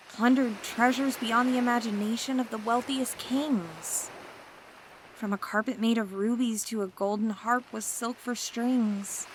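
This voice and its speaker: Seductive scheming mermaid, voiced by a woman; teasing, coaxing, higher voice, noisy background. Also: natural voice. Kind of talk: ASMR roleplay audio.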